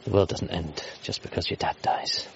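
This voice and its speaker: An actor playing a kindly, bereaved grown son who talks casually and with wry resignation in a radio comedy drama. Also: male voice, low-pitched, steady tone, moderate pace, creaky, a bit bright, whispered. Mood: relieved, wry. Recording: muffled broadcast, faint music.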